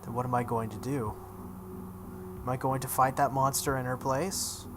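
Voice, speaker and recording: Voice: medium pitch, steady tone, moderate pace, noisy background. A let's play host reading visual novel text aloud dramatically, breaking off into casual reactions.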